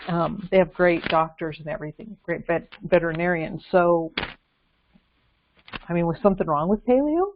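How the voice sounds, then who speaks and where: Lowish-voiced woman on a lo-fi call. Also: normal speed. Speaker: podcast guest researcher. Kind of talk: casual investigative discussion. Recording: dull lo-fi call with faint noise, clicks.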